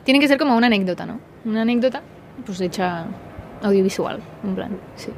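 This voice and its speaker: A woman chats as a podcast guest, talking quickly in bursts and then trailing off softly. Her voice sits at an ordinary pitch, with a faint hum in the background. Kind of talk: casual chat.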